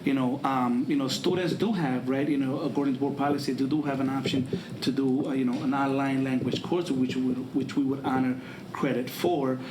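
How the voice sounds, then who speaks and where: A man talks through points at a public meeting like an administrator answering questions. His delivery is halting, with phrases repeated as he goes. The room echoes around him and noise sits clearly behind his voice. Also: mid-range voice, steady tone. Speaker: school administrator at a board meeting.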